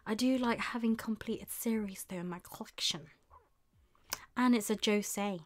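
A young woman hosting a casual live stream, thinking aloud in an unhurried way with murmured asides. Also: mid-range voice. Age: young adult. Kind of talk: casual chat while shopping online. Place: small indoor room with bookshelves.

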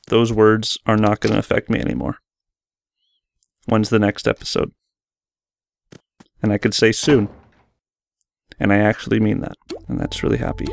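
A young man giving candid, rambling, self-deprecating commentary over old game footage, recorded at a desk mic in a small bedroom studio. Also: on the low side. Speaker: gaming channel host. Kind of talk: post-recorded gameplay commentary.

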